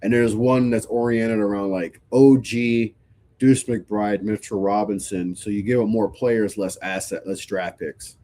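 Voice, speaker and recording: A man's voice: lowish voice, fairly even intonation, measured pace, warm tone. A man in his thirties hosting a casual live-stream sports talk show, sounding stuffy from a cold and speaking in a loose, conversational way with frequent restarts. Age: 30s. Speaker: podcast host.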